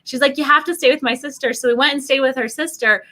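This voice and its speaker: A middle-aged woman telling casual stories in an animated, chatty way, acting out what people said. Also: high voice, fast. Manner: animated, chatty, acts out quoted remarks. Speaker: guest sharing family travel experiences. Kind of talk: casual conversational storytelling.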